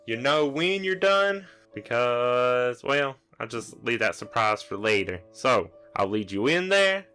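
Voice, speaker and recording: Man playing a bright-voiced, offhand monster trainer. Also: medium pitch, clean tone. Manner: offhand, rambling, hesitant explanations. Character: casual, rambling Scrafty monster acting as training facilitator.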